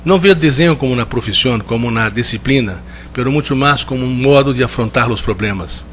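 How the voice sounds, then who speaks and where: A man's voice: mid-range voice, a bit bright, slight background noise. Brazilian-accented man giving a thoughtful lecture. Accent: Brazilian Portuguese. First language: Portuguese. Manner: measured, reflective, with frequent restarts and searching for words. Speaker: guest lecturer. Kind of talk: conference talk. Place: lecture hall.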